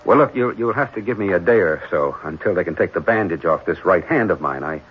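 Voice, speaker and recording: Low-voiced, evasive young heir in radio drama. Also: male voice, animated, fairly quick. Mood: impatient, evasive. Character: smooth, evasive young heir just out of hospital. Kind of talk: old-time radio drama acting. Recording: muffled, noisy old radio broadcast recording.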